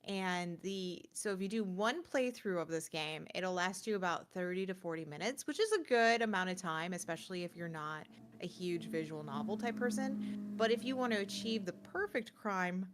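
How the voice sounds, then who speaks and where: A woman's voice: on the low side, moderate pace. A woman co-hosting a podcast, talking casually and conversationally as she reviews games. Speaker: podcast co-host. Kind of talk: casual gaming chat and review.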